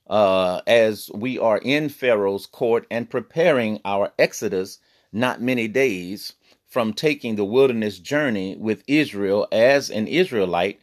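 A man teaching a Bible lesson on a podcast, earnest, with many fillers and restarts. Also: lowish voice. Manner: reads scripture in slow old-fashioned cadence.

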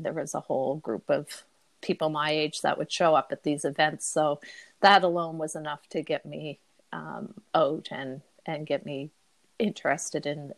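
Woman, middle-aged, interview guest, low voice, dry and close, loose chatty delivery. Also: gravelly, fairly bright tone, dry recording. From Cape Breton, Canada. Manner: rambling, many fillers and restarts. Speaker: podcast guest. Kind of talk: casual interview talk.

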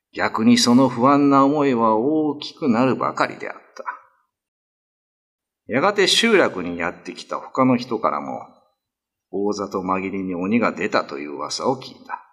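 A man's voice: on the low side, fairly bright tone, slightly rough. A man narrating an old ghost story in a scripted, dramatic radio reading style.

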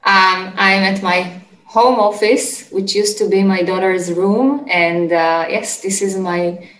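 A middle-aged woman with an Israeli accent, answering interview questions conversationally in a halting, searching way. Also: medium pitch, steady tone, fairly bright tone, roomy sound, rough recording. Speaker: interview guest, startup executive. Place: home office, remote call.

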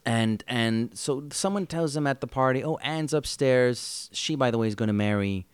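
A man co-hosting a podcast, chatting casually about a TV miniseries with quick asides and easy laughs. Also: medium pitch, clear, resonant tone, no echo. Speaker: podcast co-host. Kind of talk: casual review chat.

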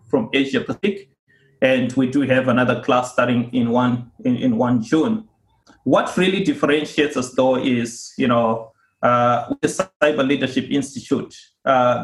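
A man from Zimbabwe, speaking as an interview guest in a mid-pitched voice. He explains things earnestly and at a measured pace, often pausing with a small "uh". The room around him sounds noticeably echoey. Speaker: guest, cybersecurity author and institute co-founder. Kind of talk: podcast interview answers.

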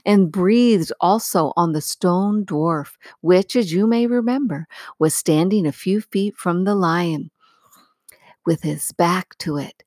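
A woman narrating a storybook as an audiobook reader, with measured, expressive phrasing. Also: fairly low pitch, dry recording, clean studio sound.

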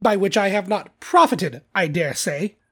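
A narrator voicing a cheerful, warm-hearted young nephew in a storybook, earnest and lively, sometimes pleading. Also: medium pitch, unhurried, husky, full character voice.

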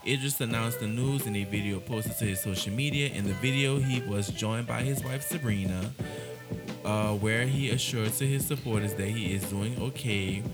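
A man's voice: lowish voice, normal speed, music in the background. A man co-hosting a casual gossip podcast, reading celebrity news aloud with laughing asides. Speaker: podcast co-host.

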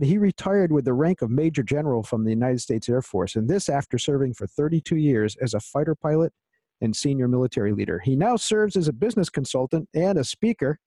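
A man hosts an interview in a friendly, eager way, often tripping over restarts. His voice is mellow and warm, recorded close and dry. He sounds keen to draw out his guest. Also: mid-range voice, dry recording. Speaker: podcast host. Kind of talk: interview hosting.

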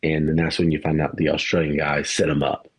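A man's voice: rumbling low, completely flat delivery, brisk, husky. A man co-hosting a podcast, rambling through a movie review in casual chat, laughing and swearing now and then. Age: adult parent. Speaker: podcast co-host.

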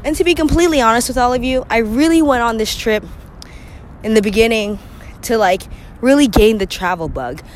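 A young woman talks through her solo podcast with a scratchy voice from a cold. She is chatty and candid, clearing her throat now and then. Faint traffic noise sits behind her. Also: fairly high pitch, animated, fairly quick. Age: young adult. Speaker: podcast host. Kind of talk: casual solo podcast monologue.

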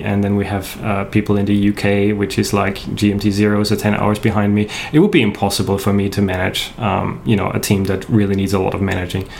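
German-accented man, low gravelly voice. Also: slight background noise. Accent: Austrian German. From Austria. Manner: thoughtful, hesitant with many restarts, laughs often. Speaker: game studio co-founder, guest. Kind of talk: casual interview talk. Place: home in Australia.

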